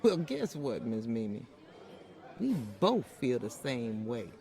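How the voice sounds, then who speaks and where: An older Southern housekeeper and grandmother, played by a woman, with a folksy Southern US accent. Her pitch swings widely as she speaks. She is polite and measured but plainspoken, wry and firm, in an old-time radio drama style. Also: sing-song, warm tone, some chatter behind, full character voice.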